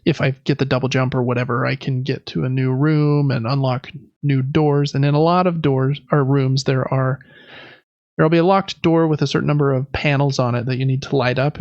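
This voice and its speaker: A man's voice: medium pitch, gravelly, dry recording, dull audio. A man co-hosting a podcast, chatting casually about video games in a rambling, enthusiastic, informal way. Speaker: podcast co-host.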